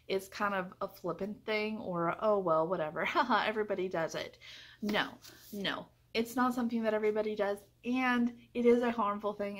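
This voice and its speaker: A woman giving a casual book review to viewers in an earnest, chatty, emphatic way. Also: medium pitch.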